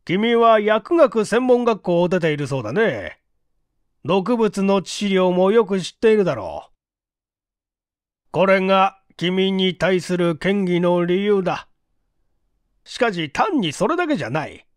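A man's voice: high voice, very animated, unhurried, no room sound, clean studio sound. A man voicing a grave, authoritative police chief in a mystery story, solemn, formal and probing. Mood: accusing, stern.

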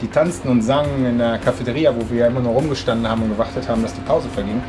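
A German man in his forties talking casually while cooking in his home kitchen, relaxed with many hesitations. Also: mid-range voice, very fast. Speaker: interviewed chef. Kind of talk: casual cooking demo and interview. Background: background music.

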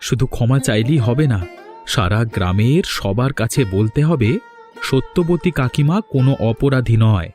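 A man's voice: medium pitch, lively intonation, normal speed, a little mellow, close to an everyday voice. Man acting earnest young cartoon hero over music. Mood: reproachful, insistent. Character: earnest grateful young man, once an orphan, righteous. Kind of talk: Bengali moral-tale cartoon dubbing. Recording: music behind the voice.

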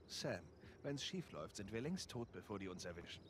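A wisecracking treasure thief. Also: male voice, lowish voice, very animated, brisk, background noise. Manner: easygoing, wisecracking. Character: wry adult male treasure thief. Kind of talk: video game dub dialogue. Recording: loud game music behind.

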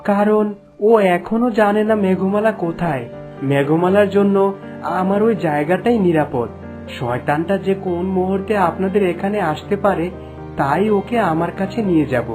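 A woman's voice: medium pitch, animated, normal speed, close to an everyday voice. A woman voicing a kindly, brave fisher companion in a cartoon fairy tale, caring and reassuring. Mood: firm, reassuring. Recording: music behind the voice.

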